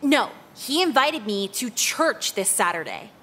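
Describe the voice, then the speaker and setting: A sarcastic teenage girl in a visual novel. Her voice sits on the high side, and she is deadpan, profane and jaded. Clear noise sits behind the voice. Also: unhurried, heavily acted. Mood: flippant.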